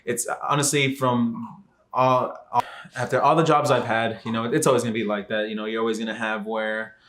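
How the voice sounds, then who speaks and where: A man's voice: medium pitch, level tone, brisk, a bit bright. A man in his twenties from Texas, talking casually to camera and rambling with many fillers and restarts. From Dallas, Texas. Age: 20s. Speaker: vlogger.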